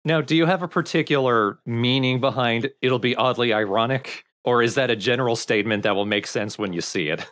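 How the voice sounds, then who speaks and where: A man's voice: medium pitch, fairly quick, few pauses, fairly bright tone. A man co-hosting a let's play, giving casual game commentary with dry sarcasm.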